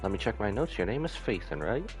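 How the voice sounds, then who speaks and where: A man's voice: lowish voice, big pitch swings, quick pace, music in the background. A man reading aloud as a polite, reassuring intelligence broker in a game, courteous and businesslike.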